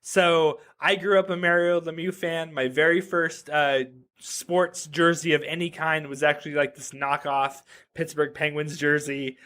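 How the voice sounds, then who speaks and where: A man's voice: on the high side, little pitch movement, measured pace, bright, forceful tone. A man in his thirties from Nevada, a podcast guest chatting casually, joking and full of "like" fillers and restarts. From Reno, Nevada. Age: 30s. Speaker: podcast guest, web developer. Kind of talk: casual interview chat.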